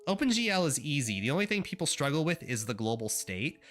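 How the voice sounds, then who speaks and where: Male streamer, congested and nasal, bright tense tone, chatty rambling coding commentary. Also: fairly high pitch, very animated, fast talker, faint music behind. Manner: chatty, rambling, wry asides to chat. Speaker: livestreamer learning graphics programming.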